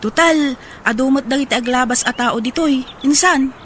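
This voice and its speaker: A woman's voice: high-pitched, normal speed, fairly bright tone, slight background noise. A woman playing a helpful cousin in a radio drama, speaking with an Ilocano accent. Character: helpful cousin offering advice to a vendor.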